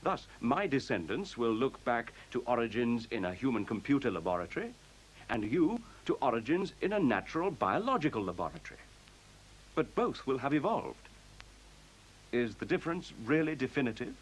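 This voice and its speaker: An actor playing a self-assured, courteous computer in a radio play, speaking in articulate, formal, precisely lecturing phrases. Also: male voice, mid-range voice, big pitch swings, normal speed, husky, low-quality recording. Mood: measured, explanatory. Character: self-assured, courteous sentient computer, male-voiced, coolly superior. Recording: faint tape hiss.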